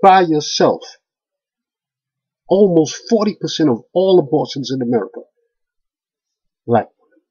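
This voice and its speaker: A man's voice: fairly high pitch, expressive, measured pace, pauses often, dark tone, husky. A middle-aged man in a heated webcam rant from a small room, stammering and repeating himself as he goes. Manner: heated, repetitive stammering restarts. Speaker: video blogger. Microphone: webcam at close range. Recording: dull lo-fi webcam audio.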